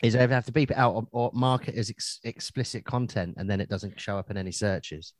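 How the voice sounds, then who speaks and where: A man's voice: lowish voice, steady tone, brisk, no echo, studio quality. An English man hosting a podcast, casual and crude, swearing often in banter. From England. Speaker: podcast host.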